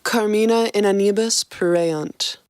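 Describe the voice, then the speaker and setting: A morbid, aloof gothic young woman, as a woman reads her in a flat deadpan. Her mid-range voice stays level and dry, recorded close with no room sound. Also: medium pitch, a little slow, clean tone, no room sound, close to an everyday voice. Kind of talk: fan fiction read-aloud podcast.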